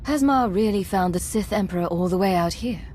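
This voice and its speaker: A woman playing a cool, composed agent and commander in a video game, speaking in a crisp, businesslike, controlled way. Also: mid-range voice, a little fast, a little mellow, a little hiss or hum, lightly acted. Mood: brisk. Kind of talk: video game cutscene acting.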